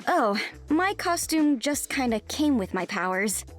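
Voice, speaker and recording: A young video game heroine. She sounds casual and friendly in a fairly high voice, with faint music behind. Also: big pitch swings, measured pace. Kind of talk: video game character dialogue.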